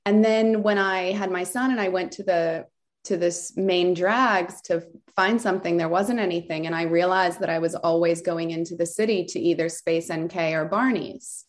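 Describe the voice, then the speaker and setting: Woman, lowish voice, chatty, rambling, interview guest. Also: fairly even intonation, moderate pace. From Southern California. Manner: chatty, rambling, frequent fillers and restarts. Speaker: beauty store founder, guest. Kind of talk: casual interview storytelling.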